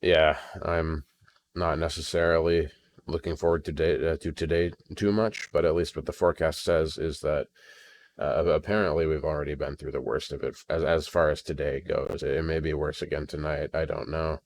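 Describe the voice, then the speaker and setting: Very deep-voiced American man, hesitant rambling. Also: flat delivery, moderate pace. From Maine, United States. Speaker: video creator recording an audio log. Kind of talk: casual unscripted solo audio diary. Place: at home at a computer. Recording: crackling home computer recording.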